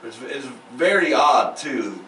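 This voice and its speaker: A man's voice: mid-range voice, expressive, slow, fairly bright tone. A man co-hosting a podcast, reacting in casual, slangy conversation. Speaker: podcast co-host. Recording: roomy, distant mic, faint noise.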